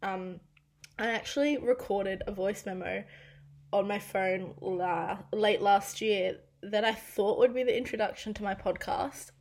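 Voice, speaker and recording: A young Australian woman in her twenties talks through her own podcast. Her voice is croaky and raspy because she has nearly lost it, but she stays chatty and pokes fun at herself. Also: medium pitch, unhurried, a little mellow. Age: 20s. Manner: chatty and self-deprecating. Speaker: podcast host. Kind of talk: casual solo podcast monologue.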